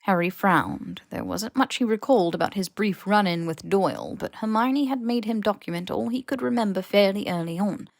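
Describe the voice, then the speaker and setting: A woman's voice: medium pitch, moderate pace, creaky, few pauses, no echo, clean studio sound. A woman reading scripted fiction as an audiobook narrator.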